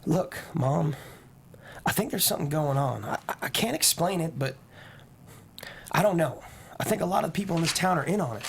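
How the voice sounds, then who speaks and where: A woman playing an earnest, frustrated teenage boy in a drama table read, with halting, stammering delivery. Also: sits low, expressive, a little hiss or hum. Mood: hesitant. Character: frustrated, earnest teenage son in a small town.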